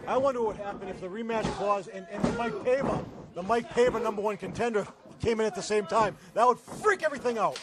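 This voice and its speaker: A man's voice: high-pitched, quick pace. A man doing live wrestling color commentary, full of wisecracks and sardonic asides. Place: wrestling club. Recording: noisy live venue.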